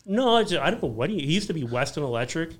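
A man's voice: a little high, fast. A man around thirty, an electrician from Canada, telling work stories in a casual roundtable chat and acting out customers' lines as he goes. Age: 30s. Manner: storytelling, animated quoting of customers.

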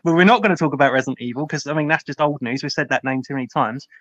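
Bright-voiced man in dry, close sound. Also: mid-range voice, rapid-fire, no echo, dull audio. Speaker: podcast panelist. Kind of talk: casual chat.

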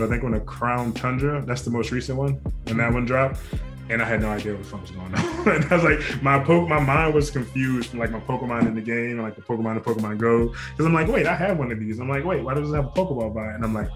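A man's voice: medium pitch, fast talker, music in the background. A man hosting a casual podcast, swearing freely and laughing often. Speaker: podcast host. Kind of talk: casual chat.

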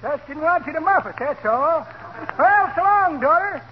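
An actor playing a folksy, teasing, wheezy old codger in a 1940s radio comedy. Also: male voice, very high-pitched, animated, moderate pace. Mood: jolly, teasing. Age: older. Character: cackling, folksy old codger who tells corny jokes. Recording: muffled, faintly noisy 1940s radio recording.

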